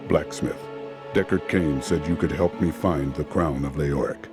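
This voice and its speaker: An actor playing a grim, resolute male hero in a game, speaking in a solemn, steady way. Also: extremely low pitch, measured pace, loud music behind the voice.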